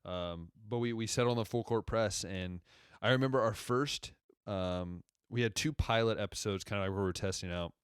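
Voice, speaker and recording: Deep-voiced young man, smooth and dry. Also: normal speed, dry recording. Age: 20s. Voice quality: deep radio-style voice. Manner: rambling and conversational, backtracking often. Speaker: podcast host. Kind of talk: casual solo podcast monologue.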